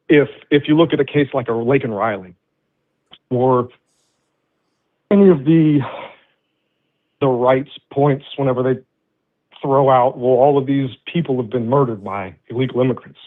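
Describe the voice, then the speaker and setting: Man, early thirties, call-in guest, earnest and halting, restarts a lot, tinny phone sound. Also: medium pitch. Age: 30s. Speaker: caller. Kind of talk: call-in argument.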